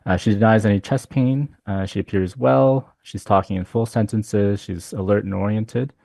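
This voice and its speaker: A man's voice: lowish voice, steady tone, moderate pace, creaky, dark tone, no room sound. A man presenting a clinical case in a medical webinar, with frequent ums and uhs. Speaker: physician speaker.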